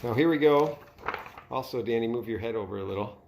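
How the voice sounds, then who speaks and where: A man's voice: mid-range voice, a little fast, darkish tone, a little hiss or hum. Man reading a storybook in an echoey room. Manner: conversational, pointing out pictures to a child. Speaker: father reading a story aloud to his child. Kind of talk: storybook read-aloud with casual asides.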